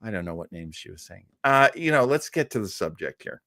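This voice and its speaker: A man's voice: fairly low pitch, very animated, fairly quick, bright, forceful tone, dry recording, clean studio sound. A middle-aged man hosting a live show, chatting casually and playfully with his viewers in a jokey, easygoing way. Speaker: host of a live old-time radio listening show.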